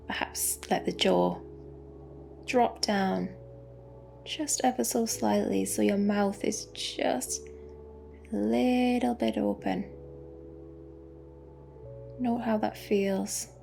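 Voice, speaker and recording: A woman leads a guided meditation gently and soothingly, in a soft, breathy voice that often drops close to a whisper. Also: mid-range voice, fairly even intonation. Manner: gentle, unhurried, with long pauses. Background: music.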